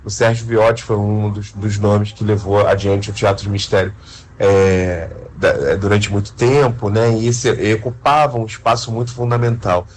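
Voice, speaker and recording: A man with a Brazilian accent explaining his field in an interview, haltingly, often adding little tag questions. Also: fairly low pitch, steady tone, a little hiss or hum. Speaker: interviewed radio drama creator.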